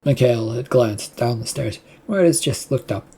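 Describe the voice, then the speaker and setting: A narrator of unclear sex reading a scripted story aloud in a husky, creaky voice. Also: medium pitch, lively intonation, normal speed, dark tone.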